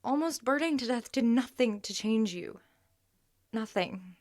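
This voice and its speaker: An actress playing an exasperated young girlfriend in an audio drama, speaking emotionally and haltingly, often dropping to a murmur. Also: fairly high pitch, animated, a little mellow. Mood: angry, pleading. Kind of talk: naturalistic audio drama acting.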